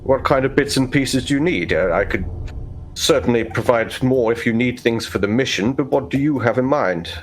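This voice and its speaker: A man playing a stern, pompous vault overseer, speaking in formal, measured phrases in a tabletop roleplaying game. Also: mid-range voice, faint music behind, close to an everyday voice. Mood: stern, brisk. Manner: formal, measured, stiff-upper-lip.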